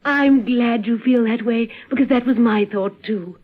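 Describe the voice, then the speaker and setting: A woman's voice: fairly high pitch, measured pace. An actress playing an earnest, determined young woman in an old radio drama, speaking directly. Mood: earnest, pleased. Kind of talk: 1950s radio drama acting. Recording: tinny, narrow-band old radio recording.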